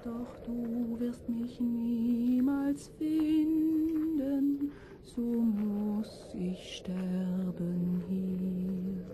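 An actress playing a tender, lovelorn young maiden in a fairy-tale audio drama, lilting and plaintive. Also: female voice, a little high, fairly even intonation, very slow, warm tone, soft background music, low-quality recording. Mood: sad longing.

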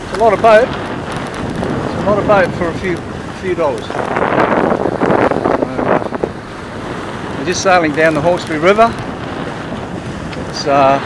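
Rambling older man, windy deck. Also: fairly high pitch. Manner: relaxed, rambling, self-deprecating, hesitant fillers. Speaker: boat builder filming his sail. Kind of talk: casual self-filmed commentary. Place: on deck of a sailing catamaran, outdoors. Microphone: handheld camera mic. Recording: loud wind noise on camera mic.

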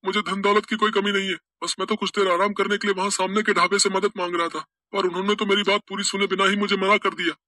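A man voicing a kind, grateful rich businessman in an animated story, speaking in a raised voice. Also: sits high, quick pace, few pauses, no room sound, studio quality, voice pushed up. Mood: earnest.

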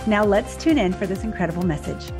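A woman in her thirties opens the podcast with a polished, upbeat scripted welcome. She talks into a headset mic. Clear music plays underneath her voice. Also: mid-range voice, brisk. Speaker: podcast host. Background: background music.

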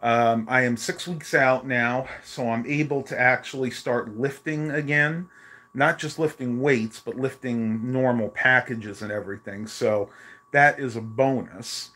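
A man chats through a home live stream, his voice worn and going hoarse. He rambles loosely, filling gaps with ums and uhs. The sound comes from a phone held at arm's length in a small bedroom. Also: medium pitch, measured pace, sharp tone. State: losing his voice. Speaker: vlogger. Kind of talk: casual live stream chat.

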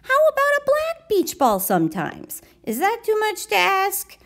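A woman's voice: extremely high pitch, wildly swooping pitch, a little slow, pitched above the performer's own voice, exaggerated voice. A woman voicing an aggrieved, overlooked crayon in a children's storybook, complaining. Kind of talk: children's storybook read-aloud.

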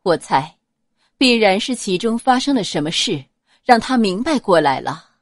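A woman voicing a gentle, dignified noblewoman and mother, reasoning softly in an audiobook. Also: medium pitch, very animated, moderate pace, no room sound, studio quality. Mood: gently chiding.

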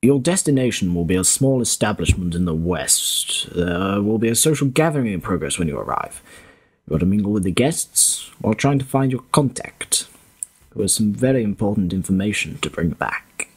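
A man acting a commanding, formal commodore who briefs his agents deliberately in a radio drama. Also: on the low side, big pitch swings, slightly rough, exaggerated voice. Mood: formal, authoritative.

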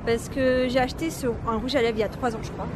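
A woman's voice: fairly high pitch, fairly even intonation, fast. Chatty young woman on a noisy street. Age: young adult. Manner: chatty, rambling with many fillers. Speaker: vlogger. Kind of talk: casual travel vlog. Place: busy city street outdoors. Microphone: handheld camera at arm's length. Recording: noisy street, loud crowd. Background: loud traffic and crowds.

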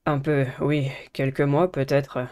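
Woman doing a low, reserved musician boy. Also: little pitch movement, takes it slowly, mellow.

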